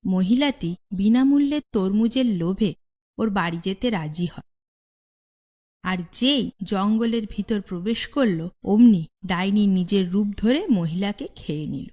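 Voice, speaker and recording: A woman narrates a children's fairy tale from a script. Her voice sits at a middle pitch, in the style of a cartoon storyteller. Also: animated, a little slow, dark tone.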